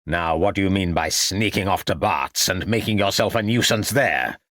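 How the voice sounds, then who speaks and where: A man's voice: low voice, moderate pace, no echo. A man voicing an angry, blustering doctor in an audiobook, growling and indignant. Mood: threatening, indignant. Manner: growling, snarling, shouted outbursts. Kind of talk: audiobook character acting.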